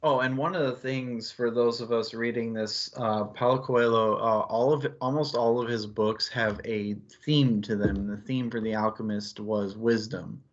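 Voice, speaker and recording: A man's voice: medium pitch, fairly even intonation, normal speed, hardly any pauses, muffled recording. A man co-hosting a casual book discussion, talking in a rambling, conversational way peppered with filler phrases. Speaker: book club show co-host.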